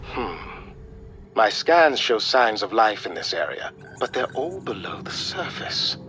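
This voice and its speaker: Low-voiced, animated briefing advisor. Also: male voice, a little slow. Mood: composed. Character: composed, clever male scientist advisor briefing an agent. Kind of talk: video game mission briefing. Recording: faint music behind.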